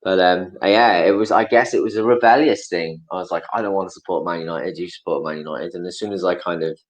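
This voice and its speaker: A man's voice: sits low, fast talker. A man from Wales, a podcast guest in casual, rambling chat. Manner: chatty, rambling, animated impressions.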